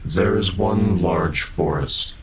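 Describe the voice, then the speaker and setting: A man playing a kindly, centuries-old half-machine guardian in a fan audio drama, speaking in a halting, careful, softly formal way. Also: deep voice, crowd noise, low-quality recording. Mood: storytelling.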